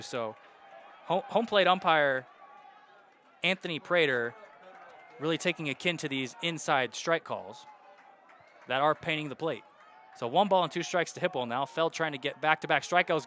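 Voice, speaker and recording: Bright-voiced young man calling baseball. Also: on the high side, slight background noise. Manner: steady count-and-situation narration, rising loud on hits. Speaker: play-by-play announcer. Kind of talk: radio baseball play-by-play.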